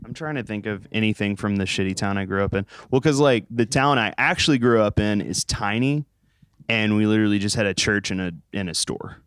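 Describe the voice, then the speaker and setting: A man's voice: on the low side, brisk, fairly bright tone, smooth, no room sound. A young American man chatting casually as an interviewed band musician, joking, rambling and swearing. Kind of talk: casual chat.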